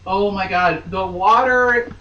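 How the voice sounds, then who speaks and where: A woman's voice: mid-range voice, slow pace, sharp tone, lo-fi sound. Theatrical woman in a roomy, distant recording. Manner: theatrical, hammy, jokey. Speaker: podcast co-host reading a novel aloud. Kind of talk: mocking dramatic reading with chatty asides. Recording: roomy, distant mic with faint noise.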